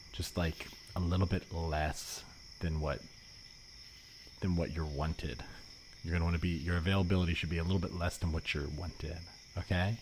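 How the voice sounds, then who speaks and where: A man playing an earnest, rambling know-it-all economist in an improvised comedy podcast, explaining things in a halting way. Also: low-pitched, darkish tone, close to an everyday voice. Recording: faintly noisy remote podcast recording.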